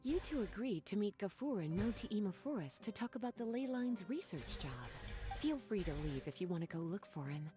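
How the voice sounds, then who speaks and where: A woman's voice: mid-range voice, big pitch swings, fairly quick, over music, close to an everyday voice. An actress playing a flirtatious, worldly woman librarian in a video game, speaking in a coy, leisurely and teasing manner. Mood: flirtatious, fond. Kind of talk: video game dialogue acting.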